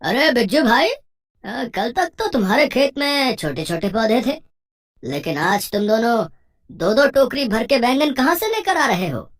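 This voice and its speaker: A woman's voice: on the high side, big pitch swings, normal speed. A woman voicing a prying village man, the farmer's friend, in a moral story, exclaiming as he speaks. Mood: nosy, surprised. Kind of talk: moral story cartoon dialogue.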